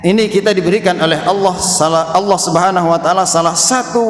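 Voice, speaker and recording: A man preaching a sermon, explaining point by point in deliberate phrases with Arabic recitation. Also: fairly high pitch. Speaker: Islamic preacher. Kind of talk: sermon, dawn mosque lecture. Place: mosque hall. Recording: echoey hall, long reverb.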